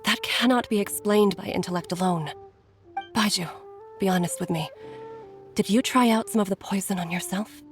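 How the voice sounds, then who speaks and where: A woman's voice: mid-range voice, normal speed, faint music behind, lightly acted. A woman voicing a caring middle-aged doctor and mother who speaks earnestly with frequent sighs. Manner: earnest, sighing, concerned.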